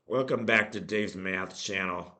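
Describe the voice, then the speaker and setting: A man with a hoarse voice from a cold, hosting a casual math lesson and explaining things with many ums, uhs and restarts. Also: low-pitched, measured pace, pushed and bright. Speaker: math channel host.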